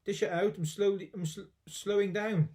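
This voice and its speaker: Guy doing a screechy bossy old grandma from a kids book, high pitched and yelly. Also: fairly even intonation, moderate pace, natural voice. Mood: gleeful demanding. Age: older. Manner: yelling, exclamatory. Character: ancient, scrawny, shrieking, bossy, exuberant grandmother.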